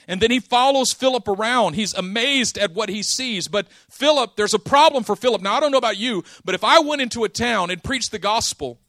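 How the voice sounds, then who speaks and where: A man preaching a sermon, telling a story with lively acted-out dialogue and casual slang. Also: high voice, brisk, few pauses, no room sound. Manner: animated storytelling, acting out dialogue.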